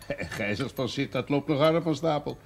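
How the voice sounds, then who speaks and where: A man's voice: medium pitch, moderate pace, natural voice. An actor playing a genial, opinionated older man, chatty and hospitable, in a naturalistic television drama. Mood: amused. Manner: animated, chatty. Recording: noisy 1970s television soundtrack.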